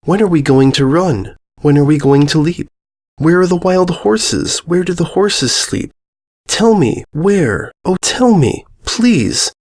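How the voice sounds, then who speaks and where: A man's voice: fairly high pitch, animated, slow, a little mellow, voice pushed up, exaggerated voice. A man voicing a wistful, stubborn young girl in a storybook, pleading with emphatic repetition. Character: young girl Mia, wistful, stubborn, longing for wild horses.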